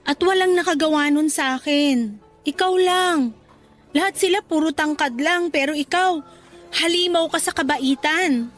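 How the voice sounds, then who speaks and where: A woman's voice: sits high, measured pace, soft background music. A woman playing a sweet, teasing young worker being courted in a Tagalog radio romance, with a coy, coaxing way of talking. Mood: earnest, tender.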